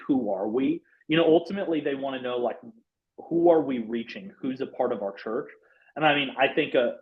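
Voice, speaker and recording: A former pastor, a man, presenting a church software webinar in an affable way, with lots of "um" and "you know". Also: medium pitch. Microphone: video call. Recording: dull lo-fi webinar audio.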